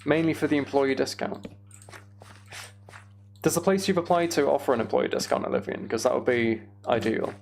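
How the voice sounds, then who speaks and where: A man's voice: medium pitch, moderate pace, creaky, pauses often, darkish tone, faint music behind. A British man chatting casually on a livestream while he plays a game, rambling and thinking aloud. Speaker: streamer.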